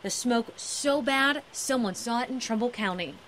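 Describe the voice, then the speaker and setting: A woman's voice: fairly high pitch, moderate pace, fairly bright tone. An American woman in her thirties giving a television news report from the field. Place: outdoors by farm fields. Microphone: handheld reporter microphone. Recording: light outdoor background noise.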